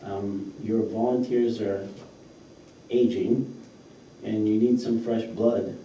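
A man's voice: fairly low pitch, fairly even intonation, slow, warm tone. A man talking conversationally as a board member in a meeting, recorded from a distance in an echoey room. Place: mid-sized indoor meeting room. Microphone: far room microphone. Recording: echoey room, distant mic, faint noise.

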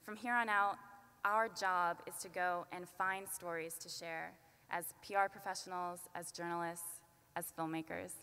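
A woman's voice: fairly low pitch, steady tone, bright, forceful tone, smooth. Young woman giving a graduation speech. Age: 20s. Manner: earnest, measured delivery with light self-deprecating asides. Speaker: student commencement speaker. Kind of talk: prepared commencement speech. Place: large arena at a podium. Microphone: podium microphone. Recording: long arena reverb.

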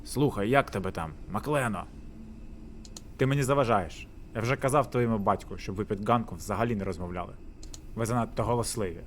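A man's voice: on the low side, a little fast, lightly acted. Man acting a pompous, sneering landlord, noisy recording. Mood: irritated. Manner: pompous, sarcastic, condescending. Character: haughty, mocking landlord Zbrozhyk, pompous gentleman. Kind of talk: theatrical voice acting for a visual novel.

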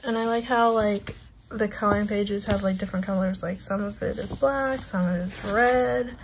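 A young woman hosting a casual, soft-spoken flip-through at home, talking gently and relaxed with lots of "like". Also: mid-range voice, moderate pace, background noise. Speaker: channel host. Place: indoors at home, over a bed.

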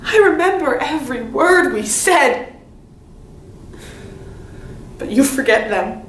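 A woman's voice: high voice, lively intonation, takes it slowly. A woman acting a young princess in a Greek tragedy monologue, earnestly begging her father for her life. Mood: anguished, reproachful. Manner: earnest, beseeching, theatrical delivery. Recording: roomy, faintly noisy audition recording.

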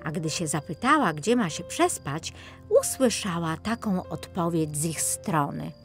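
Low-pitched woman narrating a fairy tale. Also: lively intonation, unhurried, soft background music. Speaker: audiobook narrator.

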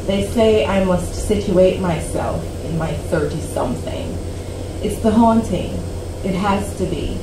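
Woman reading her poem slowly on a cafe stage. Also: on the low side. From Canada. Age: about 40. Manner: slow, deliberate spoken-word delivery with dramatic pauses. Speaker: poet. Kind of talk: poetry reading. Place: small cafe stage before a seated audience. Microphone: stand mic, recorded by a camera at the back. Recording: roomy, distant camera audio with hum.

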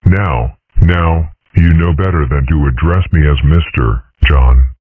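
Very deep, pompous dragon played by a man. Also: a little slow, breathy edge, exaggerated voice. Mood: stately. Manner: formal, pompous, procedural. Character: pompous, eccentric dragon father, self-styled head of state. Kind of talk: comic strip dub. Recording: muffled narrow radio-like sound.

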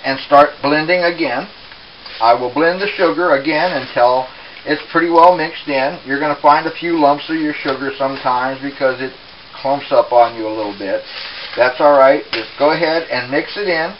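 A man presenting a casual home cooking demonstration in an unhurried, explanatory way, recorded in a kitchen. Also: a little high. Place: small home kitchen, indoors. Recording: dull, hissy home camera audio.

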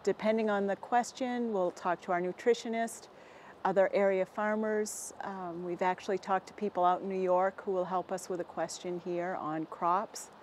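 Female, forties, American; mid-pitched voice, unscripted interview answers, halting and matter-of-fact, slight background noise. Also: fairly even intonation, a little mellow. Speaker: dairy farmer being interviewed. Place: inside a barn with hay bales. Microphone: lapel mic.

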